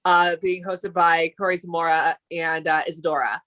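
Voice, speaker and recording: A woman's voice: lowish voice, little pitch movement, moderate pace, fairly bright tone. A woman co-hosting a live stream, chatting casually and rambling, with many fillers and restarts. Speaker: co-host of a dance talk stream.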